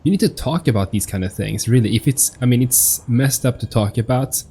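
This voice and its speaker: A man's voice: mid-range voice, fairly quick, dark tone. A man giving casual let's play commentary, musing aloud hesitantly as he reacts to the game. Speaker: gaming streamer. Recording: faint noise, game audio behind. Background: video game sound.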